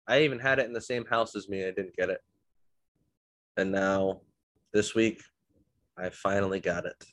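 A man's voice: low-pitched, steady tone, normal speed, a bit bright, no room sound. A man hosting a podcast, a bit congested from illness, telling rambling self-deprecating stories in a casual chat. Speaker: podcast host.

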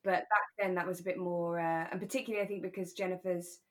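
A woman's voice: fairly low pitch, level tone, quick pace. A woman chatting casually as a podcast co-host, recapping a radio drama in a conversational way. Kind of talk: relaxed chat discussing a radio drama.

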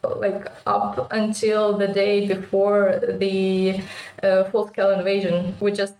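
Ukrainian-accented woman, interview guest, unhurried and halting, roomy echo. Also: medium pitch, completely flat delivery, mellow, a little hiss or hum. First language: Russian. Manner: thoughtful, hesitant with many pauses. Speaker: artist guest. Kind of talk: interview conversation.

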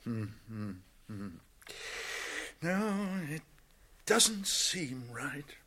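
A wise old wizard with a British accent in a fantasy radio play. He is grave and musing, with thoughtful hums and lively, expressive rises and falls. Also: a little high, extremely slow, full character voice. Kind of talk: radio drama acting.

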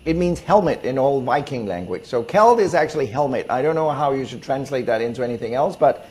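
A man's voice: medium pitch, expressive, a little fast, a little mellow. A man from Denmark with a Danish accent, speaking conversationally as an expert guest and explaining his views with emphatic repetition. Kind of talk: conversational interview answers.

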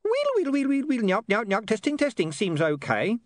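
Man voicing a tiny anxious imp, very high pitch, swooping intonation, storybook fantasy. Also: big pitch swings, a little fast, no room sound, studio quality. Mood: frantic squeaking. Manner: hesitant, apprehensive. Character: small nervous apprehensive imp inside a recording box.

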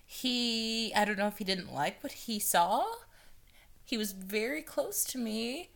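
A woman's voice: fairly high pitch, lively intonation, a little slow, vocal fry, fairly bright tone. A young woman hosting her own podcast, chatting loosely and profanely and laughing often. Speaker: podcast host. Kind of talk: casual solo podcast monologue.

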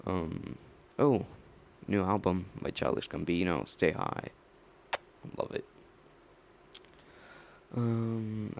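A young man rambling on his own late at night, mumbling slowly and halting often with fillers. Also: deep voice, vocal fry, a little hiss or hum.

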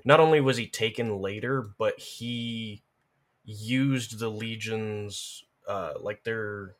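A man speaking as a guest in a casual discussion, measured and thoughtful, qualifying his points as he goes. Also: lowish voice.

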